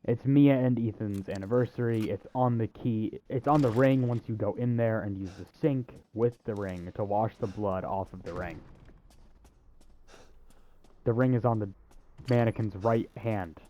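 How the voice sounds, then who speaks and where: Man, mellow relaxed voice, casual walkthrough commentary, offhand wry asides, noisy mic. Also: lowish voice, moderate pace, muffled recording. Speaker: video game walkthrough host.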